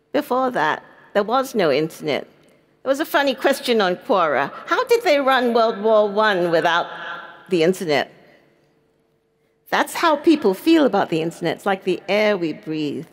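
Older woman rousing a conference crowd. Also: medium pitch. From Singapore. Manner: earnest and rousing, rhetorical questions. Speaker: panelist. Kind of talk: conference panel talk. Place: large conference stage. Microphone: headset mic.

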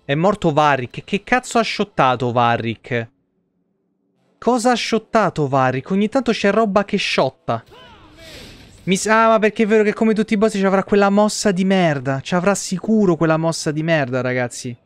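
A man's voice: high voice, lively intonation, normal speed, smooth, no room sound. A young man giving casual live game commentary, muttering and swearing in exasperation to his viewers. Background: video game music.

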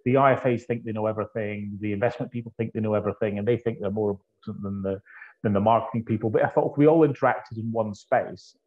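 A Scottish man in his fifties from the northeast of Scotland, chatting as a guest over a video call with an earphone mic and telling his story in a relaxed, anecdotal way. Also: fairly low pitch. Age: 50s. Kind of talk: conversational interview. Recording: dull lo-fi video call audio.

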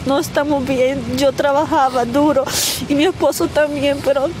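A woman, giving a halting, emotional interview outdoors into a handheld mic, her voice close to tears. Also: high-pitched, steady tone, music in the background. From El Salvador. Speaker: migrant interviewee. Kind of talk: street interview testimony. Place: outdoors on gravel ground. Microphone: handheld reporter mic held close. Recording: noisy outdoor field recording.

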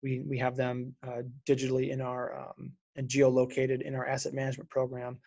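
A man speaking in a webinar about city trees, halting and full of restarts and fillers as he answers questions over a video call. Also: mid-range voice, completely flat delivery, gravelly, a little mellow, dry recording, lo-fi sound. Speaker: city urban forestry official. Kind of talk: unscripted webinar presentation and Q&A.

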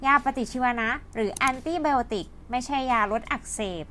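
A woman's voice: fairly high pitch, moderate pace, fairly bright tone, a little hiss or hum. A woman hosting and narrating a scripted educational piece, explaining politely to her viewers. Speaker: host and narrator.

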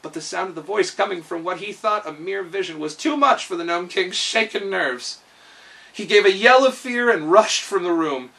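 A man's voice: high-pitched, normal speed, vocal fry, bright, forceful tone, distant mic. A man in his thirties reading a children's story aloud with expression. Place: small living room, indoors.

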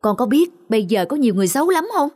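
A woman's voice: fairly high pitch, sing-song, rapid-fire, darkish tone. A woman voicing a protective young mother in a cartoon, earnestly warning her child. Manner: earnest, admonishing.

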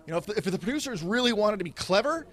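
Higher-voiced man doing deadpan stand-up. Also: rapid-fire. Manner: deadpan delivery with animated, sweeping hand gestures. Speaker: stand-up comedian. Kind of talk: stand-up comedy routine. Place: indoors, small set in front of a red curtain. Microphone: stand mic in front of him.

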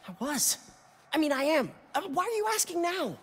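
A man's voice: extremely high pitch, big pitch swings, moderate pace, fairly bright tone, exaggerated voice. A man voicing a nervous, earnest teenage schoolboy in a video game, hesitant and muttering to himself. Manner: hesitant, anxious.

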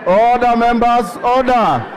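A man's voice: very high-pitched, slow pace, some chatter behind. A middle-aged man chairing a rowdy parliament session, sternly calling for order and lecturing members. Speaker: parliamentary speaker. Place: large parliament chamber. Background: noisy chamber of shouting members.

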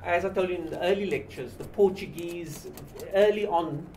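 Older male lecturer, South African accent. Halting, explanatory delivery, recorded at a distance from the mic. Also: sits high, darkish tone. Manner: halting, repeating words as he explains. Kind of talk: informal history lecture to a small class. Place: small indoor seminar room. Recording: distant mic with light background noise.

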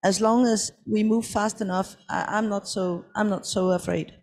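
A woman's voice: mid-range voice, steady tone, normal speed, darkish tone. Firm woman panelist. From Denmark. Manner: firm, listing points. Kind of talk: conference panel answer. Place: conference pavilion stage, indoors.

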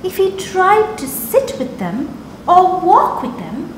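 High, animated Sri woman storyteller. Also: slow, reverberant, slight background noise, low-quality recording. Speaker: children's storytelling host. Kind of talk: expressive read-aloud for children. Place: living room sofa, indoors. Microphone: lapel mic.

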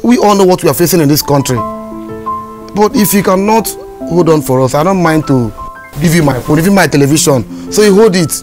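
A man playing a polite, apologetic resident, who smooths things over in a comedy skit. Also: on the high side, animated, fairly quick, natural voice. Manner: apologetic, formal peacemaking. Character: placating adult male resident, polite. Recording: music bed behind.

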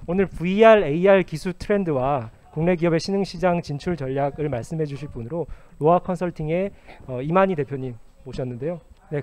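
A man's voice: fairly high pitch, darkish tone. A man moderating a panel formally, summing up and handing over, with a handheld microphone through a mask. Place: stage at an expo panel, indoors. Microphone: handheld microphone, speaking through a face mask.

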